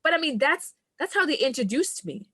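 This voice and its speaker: A woman's voice: sits high, sing-song, a little fast, a bit bright, dry recording, studio quality. A woman from Trinidad, a coach, speaking as a podcast guest in an earnest, reflective way and laughing often. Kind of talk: podcast conversation.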